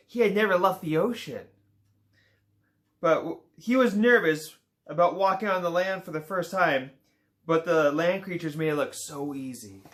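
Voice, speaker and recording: A man reading a children's picture book aloud in a relaxed way, with small slips and playful asides. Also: fairly high pitch. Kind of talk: read-aloud children's story.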